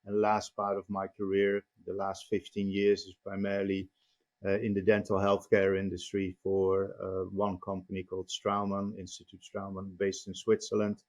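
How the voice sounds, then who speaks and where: A Dutch-accented man in his fifties, speaking in a fairly low voice. He answers interview questions at a careful, measured pace and fills his pauses with frequent "uh"s. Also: completely flat delivery, poor audio. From Netherlands. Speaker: company CEO guest. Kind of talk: podcast interview.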